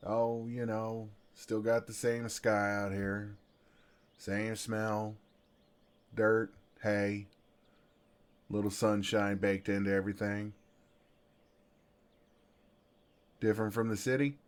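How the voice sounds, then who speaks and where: A man with a Southern US accent playing a gentle, flirtatious farmhand in an intimate ASMR roleplay, with an easygoing, folksy, soothing drawl. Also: fairly low pitch, little pitch movement, slow, clean tone. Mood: easy, teasing.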